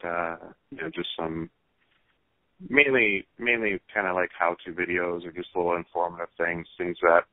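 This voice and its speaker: A man talking casually as a racer being interviewed over the phone, pausing often as he thinks through his answers. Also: deep voice, dry recording. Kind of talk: casual phone interview about hobby racing. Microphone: telephone. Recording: tinny, band-limited phone line.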